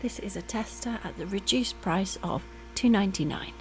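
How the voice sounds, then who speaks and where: A soft-spoken woman doing an ASMR roleplay as a polite, attentive air hostess, often dropping into whispers. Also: mid-range voice, moderate pace, noisy background.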